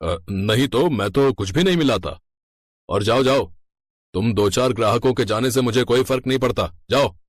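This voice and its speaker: A man playing a greedy, scheming, boastful village sweet-shop owner in an animated moral story. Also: medium pitch, normal speed, no room sound, clean studio sound, natural voice. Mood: dismissive. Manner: gloating, dismissive, boastful.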